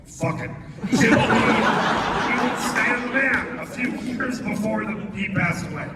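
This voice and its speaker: Amateur male reader, fairly high voice, comic table read, noisy reverberant convention hall. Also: unhurried. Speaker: audience volunteer reading a comic script. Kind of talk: live comedy table read. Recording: echoey noisy hall, distant mic.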